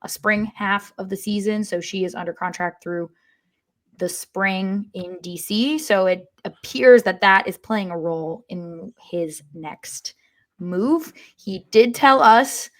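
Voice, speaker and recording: A woman's voice: mid-range voice, slow. Woman chatting on a sports podcast. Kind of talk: casual explanatory panel chat.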